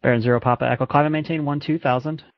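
A man's voice: a little high, fairly even intonation, rapid-fire, dry recording. A man working as an air traffic controller, speaking clipped radio phraseology. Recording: dull lo-fi radio and landline audio.

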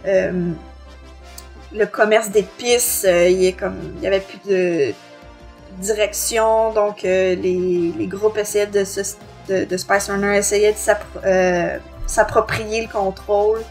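A woman's voice: medium pitch, steady tone, slow, a little mellow, faint music behind. A woman with a Quebec French accent co-hosting a podcast, reviewing a book in a somewhat hesitant, self-correcting way. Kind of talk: book review, plot summary and discussion.

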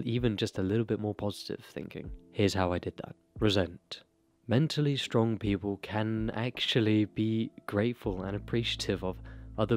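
A young man giving earnest, motivational self-improvement advice straight to camera, speaking close into a desk mic in a small bedroom. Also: on the low side. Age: young adult. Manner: earnest, motivational, direct address. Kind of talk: talking-head advice monologue. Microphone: desk broadcast mic close to mouth.

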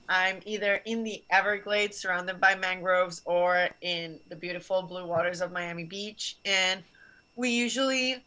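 Young woman on a video call, bright clear tone, earnest explainer. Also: mid-range voice, low-quality recording. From Barcelona, Spain. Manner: earnest, explanatory, gestures while talking. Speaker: guest scientist. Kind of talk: explaining science to students. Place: small office room. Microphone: video call webcam.

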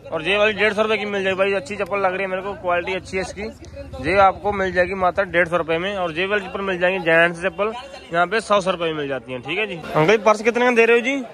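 A man's voice: high voice, steady tone, fast talker, bright, forceful tone. A man giving repetitive, sales-like running commentary to viewers as a street market vlogger, asking vendors prices. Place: busy outdoor street market.

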